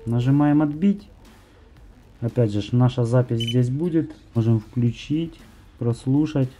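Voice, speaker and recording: A young man presents a how-to tutorial in an informal, explanatory way. His voice sits at an average male pitch, with a markedly dark and mellow tone. He talks straight to viewers as the host of a tips channel. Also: a little slow, faint music behind. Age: young adult.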